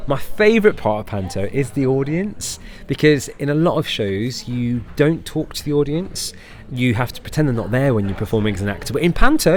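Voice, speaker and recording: A man chatting playfully in an interview as a pantomime actor, with a camp, effusive manner full of endearments. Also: medium pitch. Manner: camp, effusive, calls people darling and babes.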